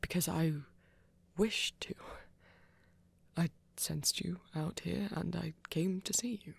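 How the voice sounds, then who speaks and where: Flirty, tender vampire played by a woman for ASMR romance drama: lowish, breathy, creaky, softly formal, very close and dry. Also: steady tone, takes it slowly, no room sound, exaggerated voice. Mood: tender, reassuring. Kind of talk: intimate ASMR audio roleplay.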